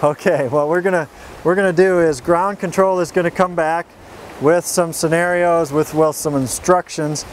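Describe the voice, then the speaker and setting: A man's voice: a little high, steady tone, normal speed, slight background noise. A man working as a flight instructor, giving an informal, straightforward tutorial with folksy step-by-step explanations. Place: outdoors.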